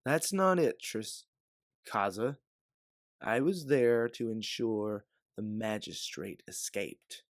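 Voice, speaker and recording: A man voicing an aged, scarred mercenary, calm, weary and measured as he justifies himself. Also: on the low side, no echo, clean studio sound. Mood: calm, pleading. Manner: measured, explaining calmly.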